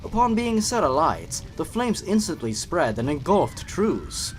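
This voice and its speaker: A man's voice: a little high, very animated, measured pace. A young man narrating and commenting on an online horror story, expressively and with playful, wry asides. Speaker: YouTube host and narrator. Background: background music.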